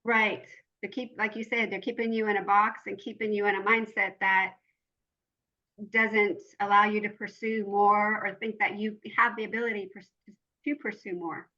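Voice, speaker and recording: A woman's voice: medium pitch, level tone, normal speed, bright, forceful tone, muffled recording. A woman hosting a podcast, asking questions in a friendly, encouraging interviewer manner. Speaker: podcast host. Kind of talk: casual interview.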